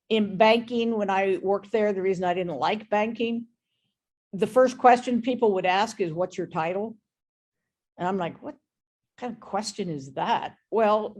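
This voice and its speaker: A woman's voice: medium pitch, a little mellow. A woman speaking frankly and with firm opinions in a conversational business talk over an online meeting, as a guest expert.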